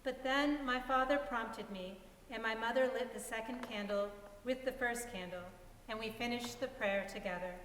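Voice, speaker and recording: A woman's voice: on the high side, moderate pace, fairly bright tone. A woman reading a scripted first-person story aloud as part of a dramatic reading. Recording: echoey hall, distant mic, noisy ambience.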